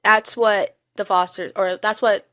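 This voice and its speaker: A woman's voice: medium pitch, fairly even intonation, a little slow, a bit bright, dry recording, clean studio sound. A young woman co-hosting a podcast in casual chat, talking in a gushing, emphatic fan style. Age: young adult. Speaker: podcast co-host.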